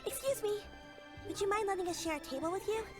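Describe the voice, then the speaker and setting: A woman's voice: very high-pitched, lively intonation, quick pace, over music. An actress voicing a polite, sweet young girl in a video game. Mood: polite, grateful. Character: polite, sweet young girl looking after her granny.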